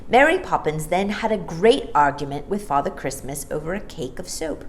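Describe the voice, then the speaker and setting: A woman reading a children's story aloud in an expressive, theatrical way, acting out the dialogue. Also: low voice, normal speed, slight background noise. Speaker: storytime reader.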